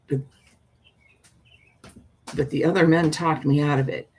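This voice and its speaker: Slow, husky older woman, folksy storyteller. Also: deep voice, steady tone, a little mellow, low-quality recording. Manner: folksy, meandering, often trailing off and repeating. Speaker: storyteller and live stream host. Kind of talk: casual rambling chat with viewers; reading a spooky story aloud.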